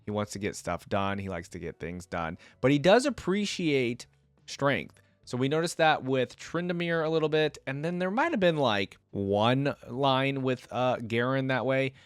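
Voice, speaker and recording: A man's voice: medium pitch, animated, moderate pace, clean tone, dry recording. Guy doing laid-back reaction commentary, chatty fan host, very dry recording. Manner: easygoing, informal fan chat with frequent asides.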